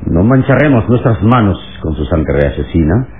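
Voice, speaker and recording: Noble radio adventure hero, low voice that swings a lot, solemn and commanding, tinny. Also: male voice, very animated. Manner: solemn, deliberate, declamatory. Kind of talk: Mexican radionovela acting. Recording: tinny old radio recording with hiss.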